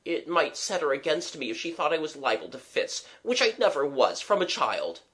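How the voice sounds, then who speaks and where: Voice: mid-range voice, sing-song, moderate pace, a bit bright, voice pushed up. A reader voicing a flustered working-class young man, gasping, agitated and apologetic, in a storybook. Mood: anxious, apologetic.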